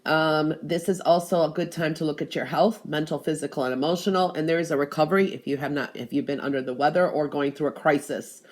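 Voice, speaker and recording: A woman with a stuffed-up, congested voice giving an intuitive spiritual reading, pausing often with "um" and repeating that she feels the energy. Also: deep voice, steady tone. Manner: hesitant, frequent "um" and "I do feel that energy". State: congested from allergies. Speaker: tarot and gemstone reader.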